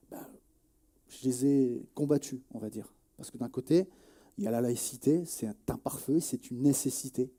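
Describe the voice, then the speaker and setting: A man of about forty speaking in an earnest interview testimony, halting as he searches for words and repeats phrases. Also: medium pitch, mellow. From Nièvre, France. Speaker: journalist and activist interviewee.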